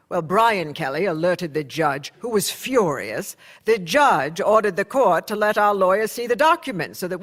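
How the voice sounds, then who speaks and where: Earnest insider narrator, high pitch, measured storytelling, long reverb, radio drama. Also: male voice, fairly bright tone, heavily acted. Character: earnest male newspaper insider recounting a court battle.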